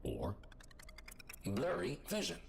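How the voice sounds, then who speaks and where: A man voicing an artificial test facility announcer in a video game, formal, politely menacing and cheerfully deadpan about danger. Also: fairly low pitch, slow, a little hiss or hum, exaggerated voice. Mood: deadpan warning.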